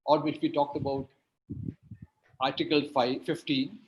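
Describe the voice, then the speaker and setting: A man's voice: on the high side, level tone, a little slow, darkish tone, slight background noise, poor audio. A man whose first language is Hindi and Urdu, speaking on a panel in an emphatic, lecture-like way with rhetorical repetition. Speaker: linguistics academic, panelist.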